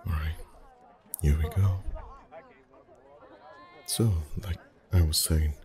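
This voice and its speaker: A man playing a friendly, chatty festival face painter in an ASMR roleplay, soft-spoken, reassuring and gently flirtatious. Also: extremely low pitch, fairly even intonation, slow pace, a crowd in the background. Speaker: voice actor.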